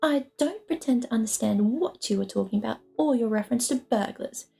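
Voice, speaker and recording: A woman voicing a small, indignant gentleman hobbit in a storybook, speaking with pompous formality. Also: a little high, lively intonation, brisk, creaky. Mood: indignant, dignified.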